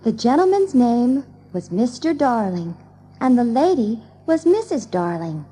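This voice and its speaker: A woman's voice: on the high side, very animated, a little slow, mellow, slight background noise. A woman playing a motherly young girl telling stories in a children's audio drama, prim and instructive in manner. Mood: storytelling.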